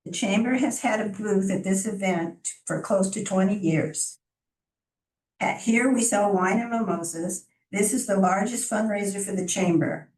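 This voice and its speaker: A soft-voiced woman presenting to a city council as a chamber of commerce director, friendly and informal, with small restarts. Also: medium pitch, unhurried. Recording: echoey council chamber from far off.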